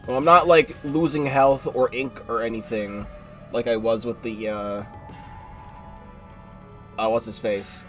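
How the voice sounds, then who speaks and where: A man's voice: mid-range voice, fairly even intonation, measured pace, long pauses, fairly bright tone, soft background music. A congested young man giving rambling, casual commentary while streaming a game live, often correcting himself and swearing offhand.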